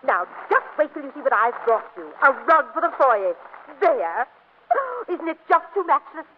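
An actress playing a gushing, oblivious, overbearing older aunt in a 1940s radio comedy, effusive and fussy. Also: female voice, high voice, very animated, normal speed, background noise. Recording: tinny old radio recording.

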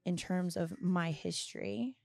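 A woman's voice: fairly low pitch, a little slow, vocal fry, a little mellow, no echo. A 22-year-old woman from Florida who also grew up abroad, talking candidly and reflectively as a guest in a casual interview. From Florida, also grew up in Scotland and Costa Rica. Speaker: podcast guest.

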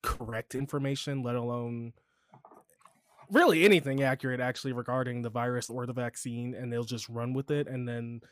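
A man from Chicago hosting a casual podcast chat, animated and swearing, his voice leaping high when riled. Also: moderate pace, dry recording, studio quality. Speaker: podcast host.

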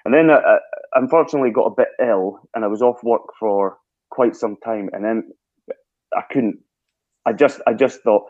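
A Scottish man, a guest telling lively stories in a casual interview and acting out his own past thoughts. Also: medium pitch, normal speed, no echo, muffled recording. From Scotland.

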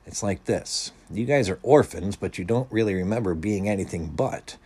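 A man voicing an earnest boy with plans to pitch, explaining persuasively in a storybook reading. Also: normal speed, a little mellow, breathy edge. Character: earnest, scheming boy, eager to persuade his friends.

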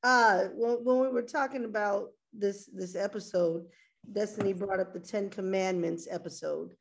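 A woman's voice: medium pitch, steady tone, normal speed, creaky. A woman hosting a podcast who steers the conversation and asks the guest where to find him. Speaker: podcast host. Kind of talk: casual podcast interview.

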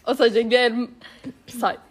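A young woman banters casually in a group. Her voice is very high, and faint noise sits behind it. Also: little pitch movement, measured pace.

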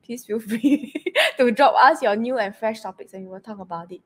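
Brisk teasing woman podcast host. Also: on the high side, a bit bright. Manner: brisk, teasing, frequent laughs, sentence-end particles. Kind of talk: casual podcast chat.

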